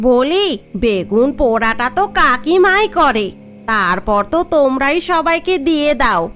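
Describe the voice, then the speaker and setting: A woman voicing a sly, sharp-tongued young village wife in a cartoon, teasing. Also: high-pitched, animated, unhurried. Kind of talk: cartoon dubbing. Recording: faint music behind.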